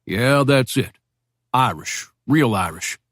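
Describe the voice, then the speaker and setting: A man's voice: mid-range voice, expressive, slow pace, fairly bright tone, no echo. Guy reading as a terse american major, short dry lines, dead dry sound. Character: laconic American army major from Arkansas.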